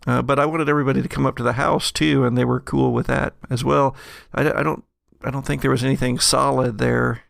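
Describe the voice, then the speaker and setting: Gravelly man running a tabletop game. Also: medium pitch, level tone, fairly bright tone, no echo, studio quality. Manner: halting, conversational storytelling. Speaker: game master. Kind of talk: improvised narration of a tabletop roleplaying game.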